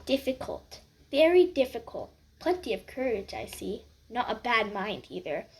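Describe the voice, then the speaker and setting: A woman voicing an ancient magical talking hat that murmurs softly and slyly into a listener's ear. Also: fairly high pitch, very animated, moderate pace, rough recording. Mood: hushed musing. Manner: hushed, murmuring close in the ear.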